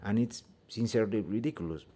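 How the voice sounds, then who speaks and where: Man, Mexican Spanish accent, low, warm tone, hesitant, restarts, heated opinion talk, phone mic. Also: fast. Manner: hesitant, many fillers and restarts, grows heated. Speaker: vlogger giving an opinion. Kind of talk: casual opinion monologue. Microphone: phone held by hand.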